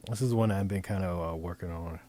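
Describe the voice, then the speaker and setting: A man talking through an informal software demonstration, improvising in a halting way as he thinks aloud and repeats phrases. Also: low-pitched, a little hiss or hum. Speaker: presenter demonstrating design software. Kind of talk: informal screen-recording tutorial, improvised.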